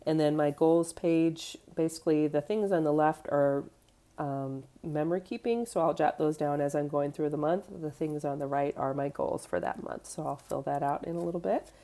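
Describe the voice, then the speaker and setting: A woman in her thirties from the United States, talking in a chatty, easygoing way with a low, mellow voice. She is getting over a cold, and she talks at home in her kitchen, stopping now and then for fillers and small corrections. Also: normal speed. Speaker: vlogger. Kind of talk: casual show-and-tell.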